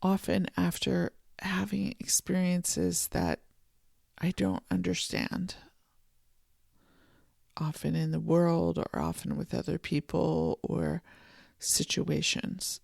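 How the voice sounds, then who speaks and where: A podcast host giving a soft, contemplative solo monologue from a home office, voice a little froggy this morning. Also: medium pitch, lively intonation, creaky, dry recording, clean studio sound. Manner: soft, slow and contemplative, with gentle restarts. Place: home office and art studio.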